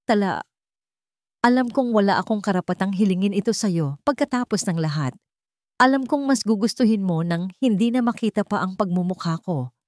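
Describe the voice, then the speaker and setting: A woman voicing a remorseful, gentle wealthy man in a narrated drama, pleading earnestly and haltingly. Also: mid-range voice, dry recording, clean studio sound, close to an everyday voice.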